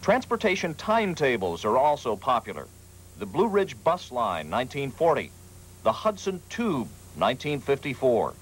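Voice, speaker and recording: An American man narrates a TV news feature in a scripted style. His pitch moves up and down with plenty of variety at a middle register. Faint noise sits behind him, as on a 1980s broadcast. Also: wildly swooping pitch, normal speed, rough recording. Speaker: TV news reporter.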